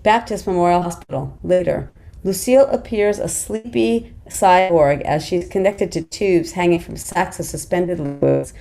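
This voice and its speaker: A woman's voice: lowish voice, moderate pace, creaky, mellow. A woman reading scene directions in scripted narration at a table read. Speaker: stage direction reader.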